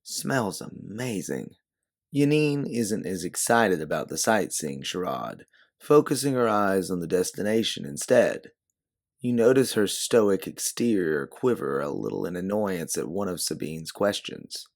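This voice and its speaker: Male story narrator, low register, gravelly edge, scripted second-person prose. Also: sing-song, unhurried. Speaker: narrator and author.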